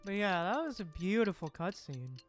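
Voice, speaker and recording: A woman's voice: medium pitch, big pitch swings, normal speed. A woman reacting casually to a game on a live stream, using plenty of slang. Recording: game music behind the voice.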